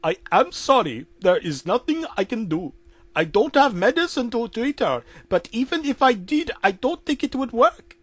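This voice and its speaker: A man's voice: very high-pitched, sing-song, normal speed, dry recording, pitched above the performer's own voice, exaggerated voice. A man voicing a resigned cartoon doctor with an Indian accent. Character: resigned cartoon doctor, male, delivering grim news.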